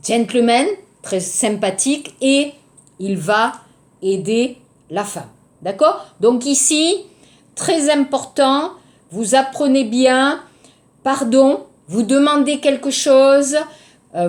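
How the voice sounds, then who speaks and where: Slow, high-voiced southern French woman teacher. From southern France. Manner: didactic, repeats key words, checks understanding. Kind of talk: recorded online language lesson.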